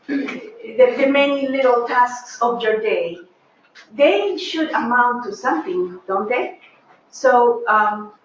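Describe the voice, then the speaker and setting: A woman's voice: mid-range voice, a little hiss or hum. Middle-aged Peruvian woman lecturer, earnest and thoughtful, hesitant restarts, very echoey lecture room, dull lo-fi sound. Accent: Peruvian Spanish. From Peru. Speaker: professor, lecturer. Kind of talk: academic lecture to a seminar room.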